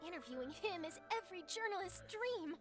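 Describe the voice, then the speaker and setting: An eager young journalist. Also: female voice, very high-pitched, expressive. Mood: defensive. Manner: quick, chatty, defensive. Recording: game audio with music.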